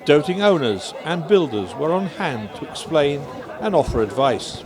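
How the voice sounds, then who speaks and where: Lively, higher-voiced man narrating over crowd. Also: moderate pace. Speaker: newsreel narrator. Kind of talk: scripted event narration.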